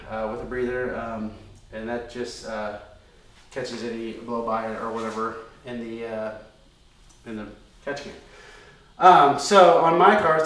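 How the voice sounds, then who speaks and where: A man's voice: medium pitch, fairly bright tone, far from the mic. A man in his thirties casually explaining his race car's oiling system at a chalkboard, loose and conversational with many ums and restarts. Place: workshop room with a chalkboard. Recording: very echoey room, voice a bit off-mic.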